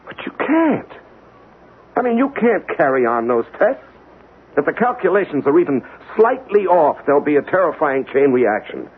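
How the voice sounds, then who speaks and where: Radio drama: fervent, desperate investigator, theatrical, hugely varied pitch, muffled vintage sound. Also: male voice, very animated, normal speed. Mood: alarmed, pleading. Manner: impassioned, theatrical. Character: desperate, idealistic male investigator obsessed with impending doom. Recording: muffled, hissy old radio recording.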